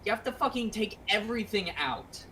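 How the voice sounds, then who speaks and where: A woman's voice: medium pitch, animated, a little fast, sharp tone. A woman explaining things in casual group chat, blunt and matter-of-fact, with emphatic swearing. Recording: noisy home microphone.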